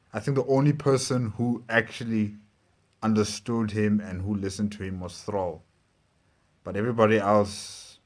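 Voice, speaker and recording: A man hosting a reaction video, talking casually and hesitantly as he gathers his thoughts off the cuff. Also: fairly low pitch.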